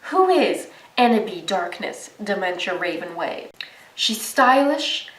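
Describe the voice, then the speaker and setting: A woman's voice: mid-range voice, sing-song, unhurried, fairly bright tone, echoey room, far from the mic, poor audio. A young woman narrating a video essay in a casual, sarcastic and profane way.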